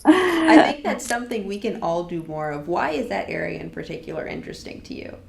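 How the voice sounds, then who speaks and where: A woman's voice: medium pitch, sing-song, a little fast, gravelly, a crowd in the background. A woman podcast host asking friendly, affirming interview questions. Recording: echoey room with faint background noise.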